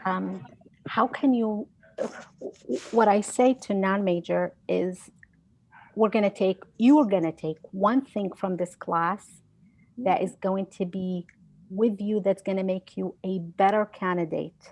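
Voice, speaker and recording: An earnest woman educator answers questions in a relaxed, conversational way, often restarting her sentences. Her voice sits at a medium pitch and sounds close and dry. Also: a little slow, no room sound. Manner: earnest, storytelling, frequent restarts. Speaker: guest speaker, science educator. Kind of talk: online seminar Q&A, conversational.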